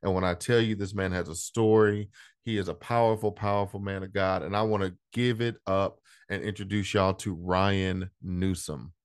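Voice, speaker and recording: Upbeat low-voiced male podcast host. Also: fairly even intonation, normal speed, clear, resonant tone, no room sound. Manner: upbeat, casual, repeats words. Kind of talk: show introduction.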